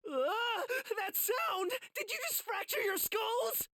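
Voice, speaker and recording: A performer playing an anxious, excitable, whiny teenage boy sidekick in an anime game, dramatic and high-strung. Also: takes it slowly, a little hiss or hum, exaggerated voice. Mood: shocked.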